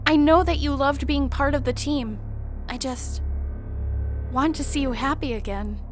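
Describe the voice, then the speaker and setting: A woman playing a gentle, devoted high school girl in a scripted drama, speaking tenderly and hesitantly. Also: a little slow, soft background music. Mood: gentle, coaxing. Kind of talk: scripted romantic drama dialogue.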